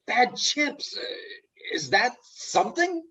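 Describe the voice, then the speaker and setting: A man's voice: very high-pitched, sing-song, slow pace, pushed and bright, slightly rough, heavily acted. A man playing an exasperated, reluctant straight man in an office comedy, read dryly and curtly over a video call. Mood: puzzled. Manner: dry, curt. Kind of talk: comic stage script table read. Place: home, online video call.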